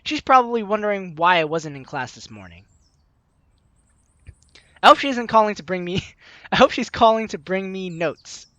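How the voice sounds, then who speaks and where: A woman's voice: mid-range voice, lively intonation, normal speed, long pauses, a bit bright, dry recording, lo-fi sound. A young woman hosting a game playthrough, reading the story aloud expressively and commenting as she goes, close on the mic. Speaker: let's play host.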